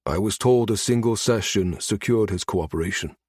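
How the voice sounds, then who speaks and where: A man voicing a guarded, dutiful state security minister in a science fiction audiobook, calm and measured. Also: lowish voice, husky, no echo, studio quality. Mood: calm, deferential.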